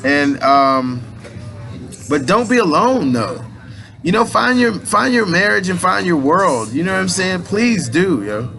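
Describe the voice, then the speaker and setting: A man's voice: high voice, animated, measured pace, vocal fry, bright, forceful tone, soft background music. A man in his late thirties giving a rambling, casual spiritual pep talk in loose slang, restarting his sentences often. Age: 30s. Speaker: aspiring minister and motivational speaker.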